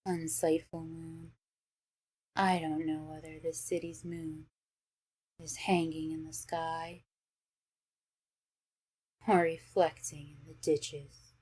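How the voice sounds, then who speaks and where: A languid, wistful woman voiced by an actress in an audio drama. Her low voice is dark and husky, with words drawn out slowly. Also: flat delivery, exaggerated voice. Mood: brooding. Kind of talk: radio drama acting.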